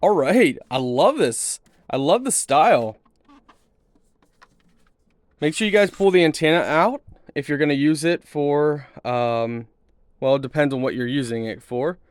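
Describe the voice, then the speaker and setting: A man's voice: a little high, lively intonation, a little slow, pauses often, dry recording. A man giving a casual product unboxing and demo in an easygoing, approving, informal way. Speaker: product reviewer. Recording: noticeable background noise with handling clicks.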